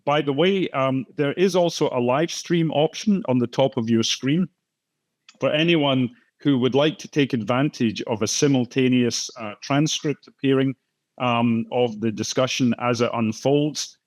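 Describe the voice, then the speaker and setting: An older Scottish man hosting an online talk event on a video call, speaking in a halting way with many fillers and restarts. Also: mid-range voice, dry recording. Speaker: host and moderator. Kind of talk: conversational welcome and introduction.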